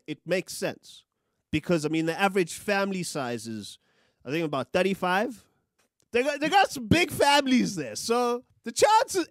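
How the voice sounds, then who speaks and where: A young man with a South African accent talks fast and heated about the news. His voice sits high and leaps around a lot. He curses freely, and the studio sound is close and dry. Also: no room sound. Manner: animated, profane, gesturing with his hands. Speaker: podcast co-host. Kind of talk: opinionated news commentary. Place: small studio room. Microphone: studio mic on a boom, with headphones.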